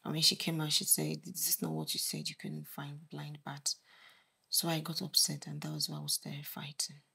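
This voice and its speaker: A woman playing an apologetic, subdued younger sister in a film drama, with a breathy, creaky voice. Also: low voice, very animated, moderate pace, pushed and bright, exaggerated voice. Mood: upset, explaining.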